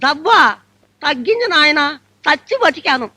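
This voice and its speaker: An actress playing a frail, very old, half-deaf bride who quavers and mishears everything, in a film comedy. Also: female voice, high-pitched, expressive, moderate pace, pushed and bright, dry recording. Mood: doddering. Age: older.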